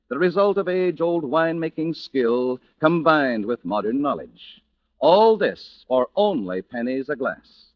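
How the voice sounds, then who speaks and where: A man's voice: mid-range voice, dry recording. A man reading a scripted 1940s radio commercial as a polished, formal announcer. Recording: dull, lo-fi vintage radio recording.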